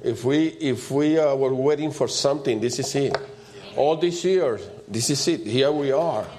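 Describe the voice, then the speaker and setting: A man with a loud voice and preaches in an informal, conversational way, repeating short phrases for emphasis. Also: mid-range voice, a little slow. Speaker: preacher leading a church service.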